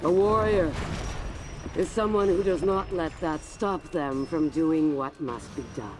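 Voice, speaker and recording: A slow, menacing female warlord. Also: deep voice. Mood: grave menace. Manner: slow, deliberate, commanding. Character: ruthless female warlord, commanding, menacing, fatalistic. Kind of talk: dramatic video game acting. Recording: loud music behind the voice. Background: loud music.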